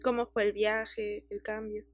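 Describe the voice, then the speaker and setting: A woman, co-hosting a podcast and asking light, quick questions. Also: mid-range voice, little pitch movement, no echo. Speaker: podcast co-host. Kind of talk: casual chat.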